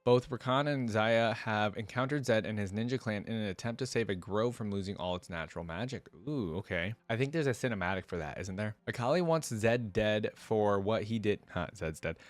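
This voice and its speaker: Low-pitched man, dry close sound. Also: animated, a little fast, hardly any pauses, no room sound. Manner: informal, exclaiming asides. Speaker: reaction video host. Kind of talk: casual reaction commentary mixed with reading aloud.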